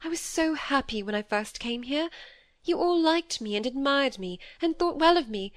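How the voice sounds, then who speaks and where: A woman's voice: high voice, expressive, moderate pace, no room sound, studio quality, pitched above the performer's own voice. A woman voicing a nervous, scornful young woman in an audiobook, emphatic and self-pitying. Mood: agitated, defiant. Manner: emphatic, agitated. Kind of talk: acted audiobook dialogue.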